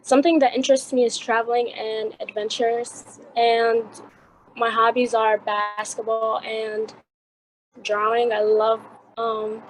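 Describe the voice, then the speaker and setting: A woman's voice: on the high side, a little hiss or hum, poor audio. A teenage girl from Morocco who now lives in Brooklyn, introducing herself in a plain, hesitant way over a video call. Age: teens. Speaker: student presenter.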